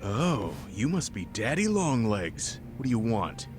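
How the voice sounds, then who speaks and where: A man's voice: lowish voice, sing-song, unhurried. An actor voicing a pushy, condescending male swindler in a video game, brusque and blustering. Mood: arrogant, demanding. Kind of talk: video game cutscene dialogue. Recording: music playing behind dialogue.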